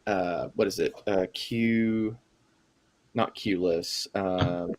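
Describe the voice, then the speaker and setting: A man's voice: low voice, steady tone, drawn-out words, slight background noise. A man co-hosting a casual podcast discussion, talking thoughtfully and trailing off as he muses. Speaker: podcast co-host. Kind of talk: casual discussion over a call.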